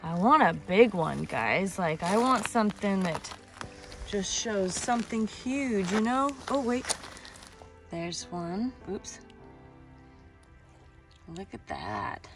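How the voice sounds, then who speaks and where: A woman's voice: medium pitch, sing-song, takes it slowly, pauses often, music in the background. A woman in her thirties with an American accent, talking in an easygoing, colloquial way in a casual outdoor vlog. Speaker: rock-hunting vlogger. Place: outdoors on a pebbly beach.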